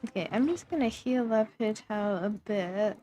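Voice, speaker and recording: A woman's voice: mid-range voice, moderate pace, warm tone, faint music behind. A young woman commenting casually on her gameplay, thinking aloud in a hesitant way with many fillers and trailing phrases. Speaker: game streamer.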